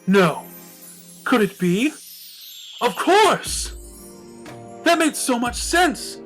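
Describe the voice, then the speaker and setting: A man's voice: extremely high pitch, very animated, extremely slow, fairly bright tone, faint music behind, voice pushed up, heavily acted. A man voicing a melodramatic, romantic, refined female unicorn in a theatrical, swooning manner. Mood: scandalized delight.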